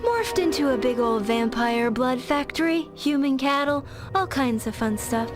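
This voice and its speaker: An actress playing an earnest, quick-witted young woman in a video game, speaking informally. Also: sits high, expressive, music in the background. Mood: worried, earnest. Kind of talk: video game cutscene acting.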